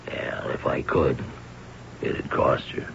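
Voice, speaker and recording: An actor playing a cold, menacing older bookie in a 1970s radio drama, gravelly, blunt and unhurried in his threats. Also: a bit bright. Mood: wary, businesslike. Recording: dull, noisy old radio recording.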